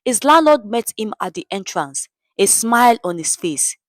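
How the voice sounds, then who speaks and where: A woman's voice: medium pitch, big pitch swings, moderate pace, no echo, clean studio sound. A woman with a Nigerian accent narrating a scripted story in a dramatic storytelling style.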